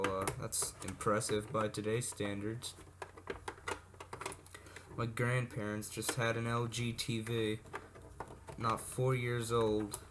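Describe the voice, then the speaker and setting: Casual man rambling over a noisy recording. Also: lowish voice. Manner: rambling, off-the-cuff, with asides and self-corrections. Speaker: hobbyist video presenter showing vintage electronics. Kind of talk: casual unscripted product demonstration. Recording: noisy amateur recording with clicks.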